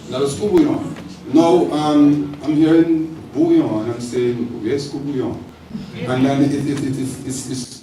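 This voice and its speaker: A Dominican man speaks up from the floor at a public talk in an animated, storytelling way. His voice is picked up from a distance, with very strong room echo and clear noise around it. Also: mid-range voice. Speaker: audience member, local communicator and radio presenter. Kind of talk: impromptu comment and question at a public lecture. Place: echoing lecture hall, public event. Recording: echoey hall, distant mic, clear background noise.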